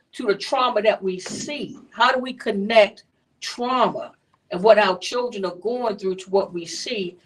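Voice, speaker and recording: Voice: mid-range voice, a bit bright. A moderator running a community panel, conversational and direct, asking the panel questions, picked up from a distance. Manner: conversational, asks questions, keeps things moving.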